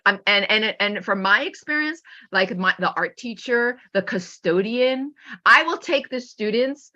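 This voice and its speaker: A woman teacher giving an informal webinar talk with anecdotes in an animated manner, acting out remarks as she goes. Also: mid-range voice, unhurried, lo-fi sound. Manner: animated, acts out pupils' remarks. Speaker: teacher and author presenting a webinar.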